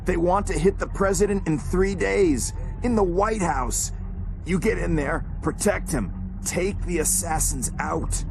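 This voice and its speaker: An actor playing a paranoid, desperate informant in a video game, speaking in clipped, jittery fragments. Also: male voice, high-pitched, unhurried, vocal fry, a little mellow. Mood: desperate, conspiratorial. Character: frantic, pleading male informant, paranoid and desperate. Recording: music behind the voice.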